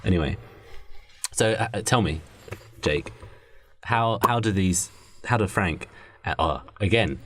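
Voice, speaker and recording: Deep-voiced, animated man riffing. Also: a little slow. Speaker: podcast co-host. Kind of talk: casual improvised comedy brainstorming.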